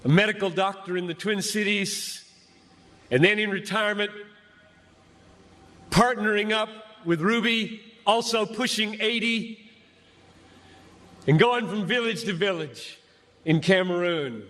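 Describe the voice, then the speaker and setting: Impassioned high-pitched male preacher, reverberant venue. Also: fairly bright tone. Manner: emphatic, deliberate, dramatic pauses between short phrases. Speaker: preacher, pastor. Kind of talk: impassioned sermon to a large crowd. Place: large gathering before a crowd. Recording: echoey large venue, long reverb.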